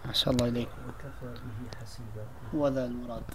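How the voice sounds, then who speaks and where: A man's voice: medium pitch, steady tone, rapid-fire, a little mellow. A male student speaking briefly and respectfully to his teacher and reading a line of verse aloud, heard faintly from a distance. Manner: brief, deferential questions and responses.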